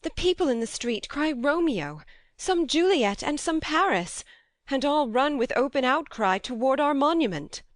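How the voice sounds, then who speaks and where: Woman playing alarmed noblewoman, high-pitched voice, dry recording, theatrical Shakespearean drama. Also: expressive, normal speed, dry recording. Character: alarmed noblewoman reporting public outcry. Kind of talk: theatrical Shakespearean audiobook acting.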